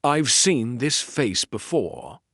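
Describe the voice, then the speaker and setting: A man's voice: medium pitch, big pitch swings, a bit bright, dry recording, clean studio sound, heavily acted. A man reading as a precise Victorian detective. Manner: precise, measured deduction. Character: sharp, cool-headed male Victorian detective.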